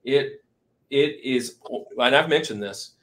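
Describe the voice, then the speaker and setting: A man's voice: medium pitch, slow pace, fairly bright tone. A middle-aged man hosting a podcast in casual, enthusiastic, informal chat. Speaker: podcast host.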